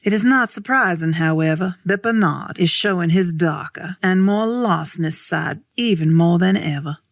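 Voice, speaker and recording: Actress as composed, low-voiced woman narrator. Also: normal speed, no echo, clean studio sound, natural voice. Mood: wry, composed. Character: proud narrating woman, fond of dear Edmund, wryly knowing. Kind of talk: scripted stage narration.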